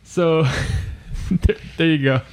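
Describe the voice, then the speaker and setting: A man's voice: a little high, extremely slow, a little hiss or hum. A man co-hosting a casual podcast, rambling through comic trivia with frequent laughs and trailing sentences. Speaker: podcast co-host. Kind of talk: casual chat about comics.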